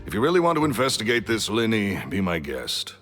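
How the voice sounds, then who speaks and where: An actor voicing a cool, ominous man in a video game, dismissive and quietly warning. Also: on the low side, huge pitch swings, a little fast, soft background music.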